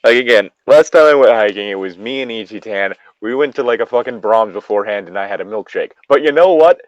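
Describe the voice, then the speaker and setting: A man's voice: fairly low pitch, fast, dry recording, dull audio. A man hosting a casual story reading, breaking off into sweary, exasperated commentary. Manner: sweary, animated, exasperated asides. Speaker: host reading an internet story aloud.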